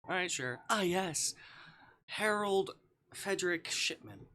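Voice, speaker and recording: A man's voice: high-pitched, expressive, takes it slowly, bright, forceful tone. A man livestreaming a game casually, reading visual novel dialogue aloud with offhand, murmured asides. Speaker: streamer. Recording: clear background noise.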